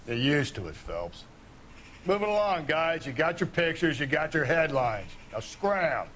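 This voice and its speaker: An actor playing a gruff, impatient veteran police detective in a crime video game, curt and bossy. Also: male voice, fairly high pitch, big pitch swings, measured pace, a bit bright, a little hiss or hum. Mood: dismissive. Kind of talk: video game drama acting.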